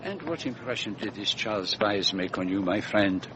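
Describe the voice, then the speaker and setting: An actor playing a courteous, shrewd foreign detective, formal and probing in a radio drama. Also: male voice, on the low side, expressive, normal speed, background noise, close to an everyday voice. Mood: inquisitive. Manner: formal, elaborately polite, probing.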